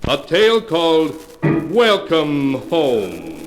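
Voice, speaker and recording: A man's voice: a little high, huge pitch swings, slow pace, music in the background. A man giving solemn, dramatic scripted narration for an old-time radio horror show. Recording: noisy vintage radio recording.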